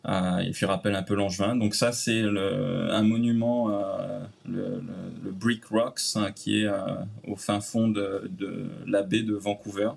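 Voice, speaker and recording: A man from Réunion talks in a low, gravelly voice with a Réunionese lilt. He speaks casually and haltingly, with lots of "euh" between his words. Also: level tone, a little mellow. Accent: Réunionese French. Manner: hesitant, many "euh" fillers, creole-tinged phrasing. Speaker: guest. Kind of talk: casual interview chat.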